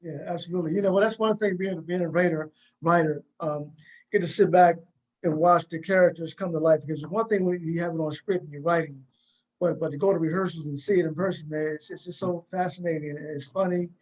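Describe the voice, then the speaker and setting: A man hosting a casual panel interview in a halting, encouraging way, often repeating his words. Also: a little high, fairly even intonation, low-quality recording. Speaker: host and playwright.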